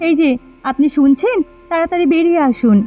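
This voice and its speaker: A woman's voice: very high voice, fairly quick, mellow. A woman voicing a caring, anxious village housewife in a moral-story cartoon. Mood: kind, cautious. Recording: dull lo-fi sound with music behind.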